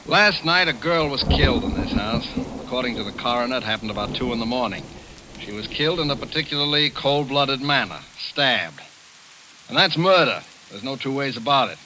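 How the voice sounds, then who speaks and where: An actor playing a blunt, impatient police lieutenant in a 1940s radio drama, brusque and interrogating. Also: male voice, mid-range voice, expressive, brisk, sharp tone, poor audio. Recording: hissy old radio recording.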